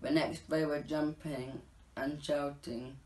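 A teenage male narrator reading a rhyming storybook script aloud, a little haltingly. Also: on the high side, flat delivery. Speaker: narrator of a Scouts pantomime. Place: living room at home, indoors. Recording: roomy echo, faint background noise.

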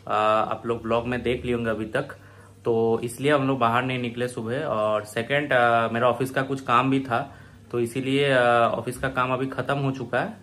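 A young man, narrating a family vlog in a relaxed, hesitant way to a phone held at arm's length. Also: mid-range voice. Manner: relaxed, with frequent hesitation sounds. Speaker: family vlogger. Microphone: phone selfie at arm's length.

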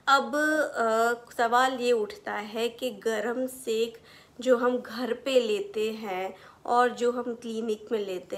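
Young lady doing a physio explainer, slightly high voice, takes her time, rephrases stuff simply. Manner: explanatory, repeats and rephrases technical terms in plain words. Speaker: physiotherapist presenter. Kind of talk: educational explainer to camera. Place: small furnished room indoors.

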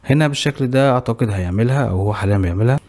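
A young man with an Egyptian Arabic accent who hosts a tech channel. He briskly talks viewers through a website, explaining each step and click as he goes. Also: mid-range voice, darkish tone. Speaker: tech tutorial host. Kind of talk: screen-recorded software tutorial.

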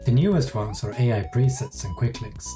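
An enthusiastic man talks in an animated, lively way, sounding a little far from the mic. Guitar music plays clearly behind him. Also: medium pitch, normal speed. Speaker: design engineer. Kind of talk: scripted product tip.

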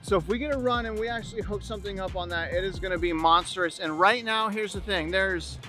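A man with a notably high voice hosts a casual fishing vlog. He talks straight to viewers outdoors, emphatic and often repeating his phrases. Some music can sit behind him. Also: brisk, pushed and bright. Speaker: fishing channel host. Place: outdoors on an open beach and in a kayak at sea.

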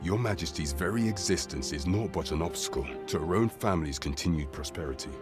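A man plays a grave court informant in a fantasy game. His voice is very deep, his phrasing measured, formal and archaic. Music plays clearly behind him. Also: natural voice. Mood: grave, confiding. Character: grave male royal informant, solemn and deferential.

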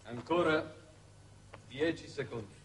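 Giovane assistente deferente, formale. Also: male voice, on the high side, slow pace. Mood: attentive, brisk. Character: courteous, deferential young aide on a spaceship. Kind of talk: 1960s Italian television drama acting. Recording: noisy old 1960s television soundtrack.

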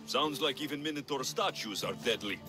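A man's voice: medium pitch, expressive, a little fast, natural voice. An actor playing a dry, skeptical male mercenary hero with a sardonic wit, in a video game. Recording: game music underneath.

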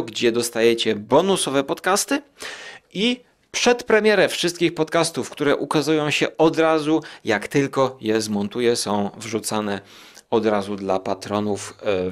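Male podcast host, medium pitch; casual solo musing, meandering and hesitant, checking in with short tag questions. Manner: rambling, digressive, hesitant pauses with self-questioning tags. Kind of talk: casual spoken monologue, musing aloud.

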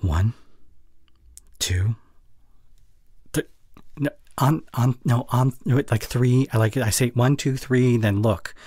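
A young man playing an anxious, caring boyfriend in an intimate ASMR roleplay, speaking softly and hesitantly close to the mic. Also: medium pitch, takes it slowly, long pauses, no room sound. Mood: nervous, stalling. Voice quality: soft, breathy. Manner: gentle, hesitant, murmuring reassurance. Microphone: close microphone.

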